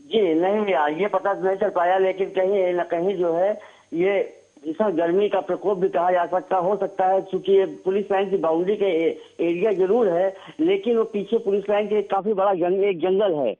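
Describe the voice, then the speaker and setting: A man giving an unscripted correspondent's report by phone, in halting, padded phrases. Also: high-pitched, steady tone. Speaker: news correspondent. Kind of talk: live unscripted field report. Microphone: phone call. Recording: muffled narrowband phone line.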